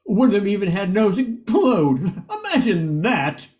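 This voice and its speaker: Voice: medium pitch, wildly swooping pitch, a little slow, darkish tone. An actor playing a timid, bumbling Cowardly Lion police chief in a comic radio play, nervous and hesitant. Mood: puzzled.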